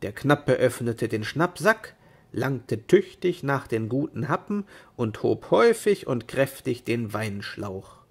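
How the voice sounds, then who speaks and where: A man reading a storybook tale as narrator, in an expressive, deliberate manner. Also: mid-range voice, husky.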